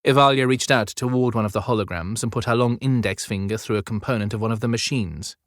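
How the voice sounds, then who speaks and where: A man's voice: fairly low pitch, quick pace, no room sound, clean studio sound. A man narrating a scripted adventure story as an audiobook narrator.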